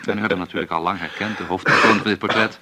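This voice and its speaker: An actor playing a young, deferential radio interviewer in a radio play, speaking formally and with polite hesitation. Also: male voice, sits low, fairly even intonation, racing, background noise, close to an everyday voice. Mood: formal, presenting. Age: young adult. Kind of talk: radio drama played as a portrait interview.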